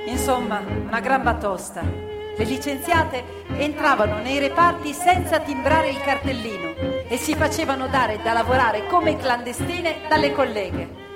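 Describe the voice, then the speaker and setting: An actress playing a proud, impassioned storyteller of women factory workers, emphatic and theatrical in a radio theatre piece. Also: female voice, high-pitched, expressive, a little slow. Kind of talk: stage radio theatre narration in Italian. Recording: reverberant hall, loud live music behind. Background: loud live musical instruments.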